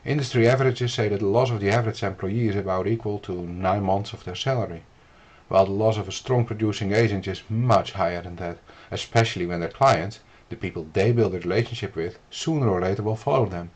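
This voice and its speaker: A man from the Netherlands with a Dutch accent, explaining steps to the viewer in an earnest, measured instructional video. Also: on the low side, a little mellow. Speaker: business consultant.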